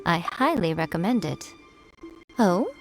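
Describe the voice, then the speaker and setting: A woman's voice: fairly low pitch, expressive, measured pace, creaky, faint music behind, rough recording. A woman playing a poised, sly shrine maiden in an anime game, composed and teasing. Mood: playful.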